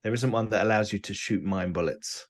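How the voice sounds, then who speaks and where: A man's voice: lowish voice, fairly quick, a crowd in the background. A man chatting casually as a player in a tabletop roleplaying game. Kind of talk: casual chat.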